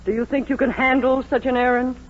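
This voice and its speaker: Stern, tart middle-aged matron for 1940s radio comedy; very high voice, brisk orders, dull sound. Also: female voice, little pitch movement. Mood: bossy. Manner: brisk, sarcastic, commanding. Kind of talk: 1940s radio sitcom acting. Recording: muffled 1940s radio recording.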